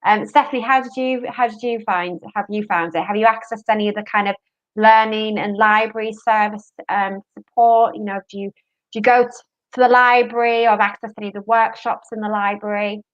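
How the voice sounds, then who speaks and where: A woman's voice: mid-range voice, steady tone, brisk, lo-fi sound. Chatty woman hosting a student panel. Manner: friendly, many fillers. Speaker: university adviser hosting a panel. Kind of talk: panel discussion.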